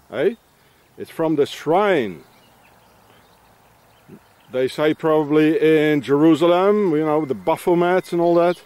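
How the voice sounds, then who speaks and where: A man's voice: a little high, a little mellow. A middle-aged man rambling and ranting in a meandering monologue as he walks a countryside path, often tagging on questions. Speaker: vlogger. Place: outdoors in the countryside, walking a path.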